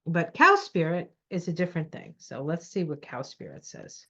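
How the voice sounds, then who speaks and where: Stuffy-nosed woman, lowish voice, lo-fi. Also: big pitch swings, moderate pace, bright, forceful tone. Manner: encouraging, reassuring. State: stuffy nose. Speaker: tarot reader. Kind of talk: casual tarot reading to camera. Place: home desk at her computer. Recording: dull lo-fi sound.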